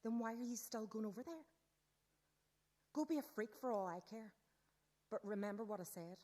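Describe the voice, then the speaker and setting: Radio drama: snobbish schoolgirl queen bee played by a woman, high mellow voice, sneering and bossy. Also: moderate pace, natural voice. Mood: angry, bossy. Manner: sneering, gushing, theatrical. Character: snobbish, bossy teenage mean girl, scornful schoolgirl. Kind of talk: radio play acting.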